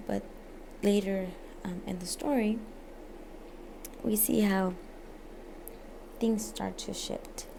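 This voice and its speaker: Woman with a very creaky, flat voice. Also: medium pitch, slight background noise. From United States, born in Mexico. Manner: hesitant, halting, frequent pauses and self-corrections. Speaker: student presenting a literature essay. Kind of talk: informal spoken book presentation.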